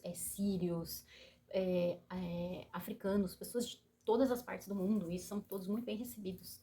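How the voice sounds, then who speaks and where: A Brazilian woman with a Brazilian Portuguese accent, chatting to camera on her channel, bouncing between excited bursts and soft, earnest asides. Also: on the low side, slight background noise. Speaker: YouTuber. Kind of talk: casual chat to camera.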